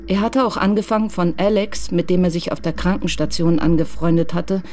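A woman reading scripted literary narration for a radio crime drama in a measured, matter-of-fact way. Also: lowish voice, fairly even intonation, darkish tone, faint music behind.

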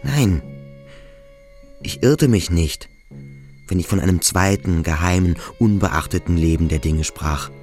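Radio drama: brooding pupil, very low voice, intense halting monologue over music. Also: male voice, a little mellow. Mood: brooding, searching. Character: brooding, introspective adolescent male boarding school pupil. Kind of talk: German radio play monologue.

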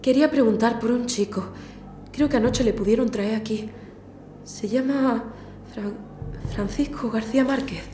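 A woman's voice: fairly high pitch, normal speed, pauses often, lightly acted. An actress from playing a timid, anxious young woman in an audio drama, speaking hesitantly. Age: young adult. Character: timid, anxious young girlfriend seeking her sweetheart. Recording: echoey room, faint noise.

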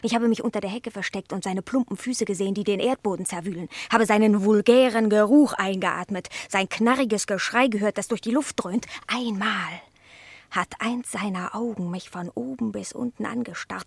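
A woman playing a haughty, sharp-tongued female cat in a 1960s German radio play, speaking with grand, theatrical disdain. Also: mid-range voice, normal speed, vocal fry, dry recording. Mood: dramatic indignation.

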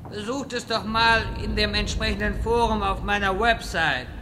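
A man's voice: sits high, moderate pace, noisy background, lightly acted. A man playing an irritable, sardonic wizard who lectures his companions in a German audio drama parody. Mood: annoyed. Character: exasperated, sarcastic male wizard guiding bumbling adventurers.

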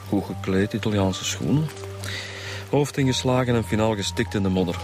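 A man's voice: fairly low pitch, normal speed, a little mellow, close to an everyday voice. A man with a Flemish accent playing a wry, casual police inspector in a radio crime drama. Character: wry, jokey male homicide detective inspector. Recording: music under the dialogue.

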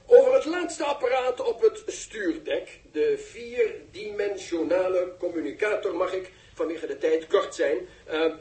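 An actor playing a pompous, easily flustered live reporter in a 1960s radio drama, speaking in formal, florid phrases. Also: male voice, high-pitched, expressive, a little slow, hardly any pauses, warm tone. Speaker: television reporter. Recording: echoey, distant 1960s radio recording.

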